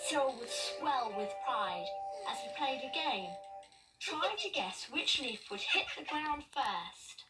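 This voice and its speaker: A woman reading children's stories in an expressive storytelling style. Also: sits high, slow pace. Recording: distant mic, music underneath. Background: music.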